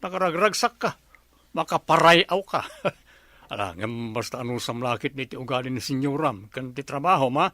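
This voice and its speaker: A man's voice: mid-range voice, expressive, normal speed, bright, forceful tone, dry recording. A man acting a genial, flirtatious master of the house, coaxing and teasing, in a comedy radio drama. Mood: coaxing, flattering.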